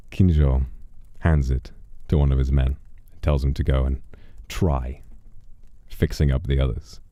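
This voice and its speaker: A man telling a dramatic first-person story as a streamer, in a theatrical, wry and unhurried manner. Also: extremely low pitch, steady tone, warm tone, no room sound, lo-fi sound.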